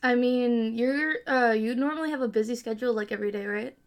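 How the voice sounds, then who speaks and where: A woman's voice: fairly high pitch, brisk. A woman hosting a casual podcast chat, asking curious questions in a chatty way. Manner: chatty, curious, many likes. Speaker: podcast host.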